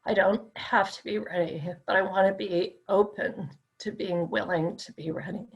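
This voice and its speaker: A woman's voice: mid-range voice, fairly even intonation, normal speed, dull audio. A woman with a crackly voice, a client repeating phrases back during a tapping session. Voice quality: crackly, raspy voice.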